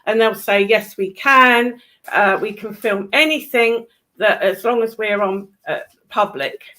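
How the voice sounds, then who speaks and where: A woman's voice: medium pitch, unhurried, bright, forceful tone. A woman with a British accent giving blunt, opinionated commentary in a casual conversation.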